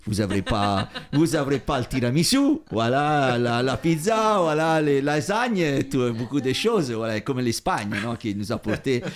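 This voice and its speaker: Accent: Italian. Sex: man. Role: radio host. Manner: effusive, halting, full of "voilà". Pitch: mid-range. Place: studio. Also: big pitch swings. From Italy. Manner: effusive, halting, fills gaps with repeated "voilà". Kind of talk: casual on-air chat.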